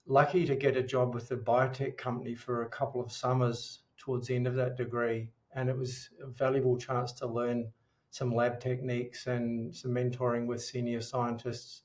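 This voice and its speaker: A middle-aged man with a New Zealand accent, speaking as an interview guest in a measured, reflective way. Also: medium pitch, a little mellow. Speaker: podcast guest, dietitian. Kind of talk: interview storytelling.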